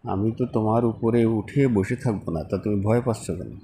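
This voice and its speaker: A man's voice: fairly low pitch, brisk, dark tone, a little hiss or hum, close to an everyday voice. A man acting as a persistent office boss in a phone-call drama, coaxing and reassuring in a conversational way. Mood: insistent, reassuring. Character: persistent male office boss coaxing a reluctant female employee.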